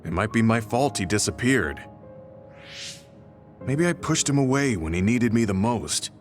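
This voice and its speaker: A serious, weary soldier weighed down by guilt. His voice sits low and his delivery is measured, in the manner of video game drama, with music clearly playing behind him. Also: sing-song. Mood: regretful. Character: weary, guilt-ridden veteran male soldier. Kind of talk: English video game voice acting.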